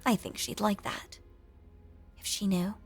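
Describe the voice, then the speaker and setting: A woman playing a lonely, possessive female ghost in an intimate audio drama monologue, hushed and coaxing, with genteel old-fashioned phrasing and a breathy voice that often sinks toward a whisper. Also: medium pitch, steady tone, a little slow, soft background music. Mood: sweetly sinister.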